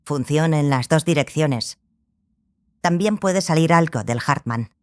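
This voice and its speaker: A composed female mentor sorceress, acted by a woman in a fantasy audiobook. Her voice is low and close, without room sound. She gives instruction with measured authority. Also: expressive, dry recording. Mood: dry, cautioning.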